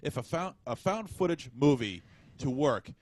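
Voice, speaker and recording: Halting, mid-pitched man talking film. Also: expressive, darkish tone. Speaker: podcast co-host. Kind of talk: casual film discussion.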